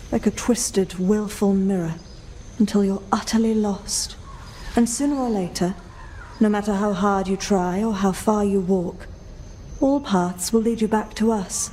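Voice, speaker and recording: An actress playing a calm, ominous female guide in a fantasy video game, speaking deliberately like a storyteller. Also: medium pitch, slight background noise, close to an everyday voice. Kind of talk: video game cutscene acting.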